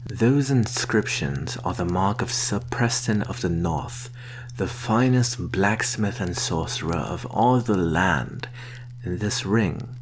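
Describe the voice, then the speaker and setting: A man playing a sly vampire posing as a traveling merchant, soft-spoken and coaxing in an ASMR roleplay. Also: sits low, a little hiss or hum, exaggerated voice. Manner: soft-spoken, coaxing, deliberate with sighs and soft chuckles.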